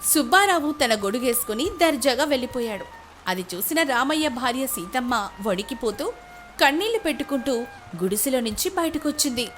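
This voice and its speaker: A woman's voice: high voice, lively intonation, moderate pace, fairly bright tone, faint music behind. A woman reading a scripted moral story as its narrator.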